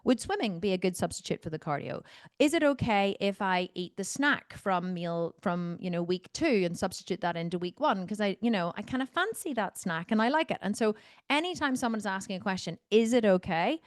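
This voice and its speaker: A woman's voice: mid-range voice, lively intonation, a little fast, no room sound, studio quality. A woman working as a fitness coach, talking bluntly and insistently on a video call, repeating phrases for stress. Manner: blunt, no-nonsense.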